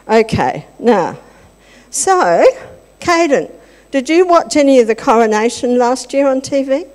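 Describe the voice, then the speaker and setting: A woman's voice: a little high, a little mellow. An older woman leading a playful children's talk in a church service, chatting and asking the children questions. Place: large church hall with congregation. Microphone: handheld microphone. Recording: long hall reverb.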